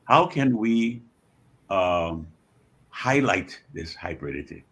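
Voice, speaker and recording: An elderly man with a Liberian accent talks in a reflective interview, his voice bright and forceful but husky. He phrases his thoughts deliberately, pausing and repeating words as he goes, and sits fairly low in pitch. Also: big pitch swings. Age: 70s or older. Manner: measured, deliberate phrasing with frequent pauses and repetitions. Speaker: guest author and scholar.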